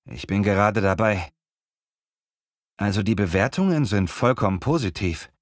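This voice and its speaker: A man playing a friendly young apprentice in a scripted language-learning dialogue, speaking clearly and in a measured way. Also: on the low side, expressive, dry recording, lightly acted. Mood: agreeable, practical. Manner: clear, measured, textbook delivery.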